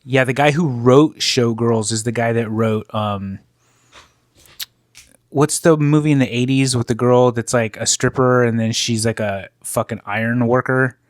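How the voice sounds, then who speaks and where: A man's voice: mid-range voice, unhurried, no room sound. A man co-hosting a casual podcast chat, rambling loosely and swearing as he talks into a studio mic. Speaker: podcast co-host.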